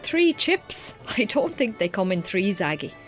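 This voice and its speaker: Lively Irish farmer's wife. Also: female voice, medium pitch, a little slow, soft background music. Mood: wry, friendly. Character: Irish farmer's wife, strained marriage, brisk but yearning. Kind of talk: naturalistic radio drama dialogue.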